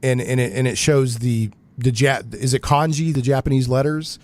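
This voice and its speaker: A man's voice: mid-range voice, steady tone, moderate pace, darkish tone. A man hosting a live geek talk radio show in a conversational, informal way.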